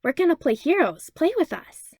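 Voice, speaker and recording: A woman acts out an eager little boy of five in a story reading. The voice jumps high and squeaky, with cute, childlike phrasing and a dry, close sound. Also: sing-song, moderate pace, a little mellow, no echo. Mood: eager, pleading. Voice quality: squeaky, chirping. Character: excited five-year-old boys playing heroes, cute and pleading. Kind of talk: storybook character voice.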